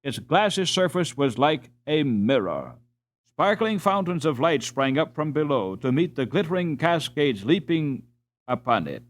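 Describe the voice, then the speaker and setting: A male audiobook narrator reads scripted prose in a measured, dramatic manner. His mid-pitched voice moves widely up and down, giving each phrase expressive shape.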